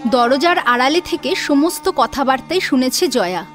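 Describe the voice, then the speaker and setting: High-pitched woman narrating a drama. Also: animated, moderate pace, a bit bright, soft background music. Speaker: narrator and voice actor. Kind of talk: dramatic scripted storytelling.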